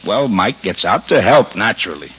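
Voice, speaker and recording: A soft-hearted American cop from an old radio mystery. The husky voice swings widely as the lieutenant talks in emphatic, exasperated bursts. The sound is dull and aged. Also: male voice, fairly low pitch, expressive, moderate pace, full character voice. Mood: weary, worked up. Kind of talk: 1950s American radio detective drama acting. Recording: muffled vintage radio recording.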